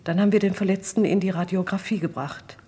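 A deferential night nurse, acted by a woman in an old radio crime play. She has a medium-pitched, breathy voice and reports carefully and precisely. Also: fairly even intonation, fast talker, warm tone, lightly acted. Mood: factual. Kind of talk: vintage German radio crime drama acting.

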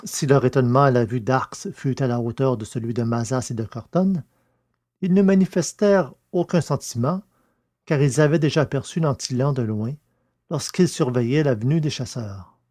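A man reading scripted narration for a science-fiction audio serial in a measured, literary way. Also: mid-range voice, mellow.

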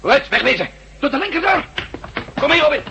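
Urgent adventurer, wildly varied pitch. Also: male voice, big pitch swings, fairly quick, gravelly, pushed and bright, exaggerated voice. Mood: urgent, shouting. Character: tense, urgent male adventurer leading a resistance team. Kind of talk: Dutch radio drama acting. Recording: very noisy radio drama recording.

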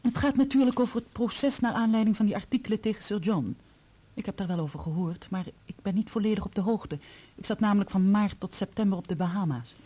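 An actress playing a guarded, worldly society woman in a Dutch radio play, with a husky voice. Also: medium pitch, fast, dark tone, natural voice.

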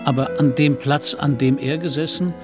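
A man's voice: fairly high pitch, steady tone, moderate pace. Male narrator over music. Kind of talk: scripted literary radio play narration.

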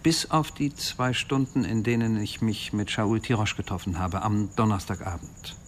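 A man's voice: lowish voice, normal speed, slight background noise, close to an everyday voice. A man playing a defensive, uneasy married suspect earnestly explaining himself to police in a radio crime drama.

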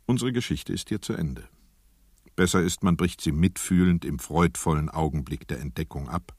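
A man narrating a radio drama as a storyteller, in a measured, scripted literary style with an ironic edge. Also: rumbling low, darkish tone, husky, no room sound, studio quality, exaggerated voice. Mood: measured, wry. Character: sober, wry storyteller narrating a seafarer's fate.

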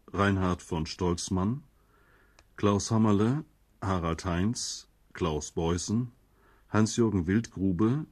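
Slow, husky male radio announcer. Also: lowish voice, darkish tone. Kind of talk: formal scripted credits announcement.

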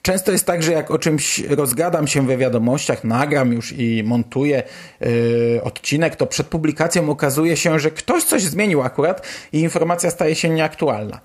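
A man's voice: on the high side, moderate pace. A man with a Polish accent hosting a podcast, giving news commentary in a casual, chatty, colloquial way. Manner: colloquial, chatty, mild swearing. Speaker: podcast host.

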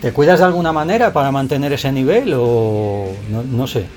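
Friendly Spanish radio interviewer. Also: male voice, mid-range voice, lively intonation, normal speed, darkish tone, faint music behind. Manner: friendly, with frequent short acknowledgements.

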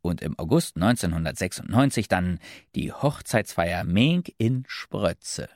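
A man's voice: sits low, lively intonation, measured pace, a little mellow, no room sound. A man delivering scripted radio-play narration like a documentary announcer, with dry asides.

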